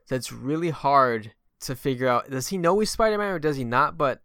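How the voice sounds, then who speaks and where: Bright, clear-voiced man talking movies. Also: mid-range voice, brisk, no echo, studio quality. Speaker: film podcast host. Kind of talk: casual film discussion.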